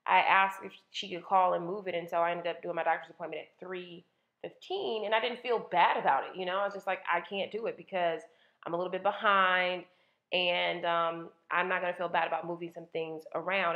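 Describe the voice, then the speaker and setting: A woman's voice: lowish voice, steady tone, a little fast, fairly bright tone. A woman in her thirties hosting a casual solo podcast, talking candidly with lots of "like" and "um". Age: 30s. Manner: chatty, candid, uses filler "like" and "um". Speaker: podcast host. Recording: roomy, dull lo-fi sound.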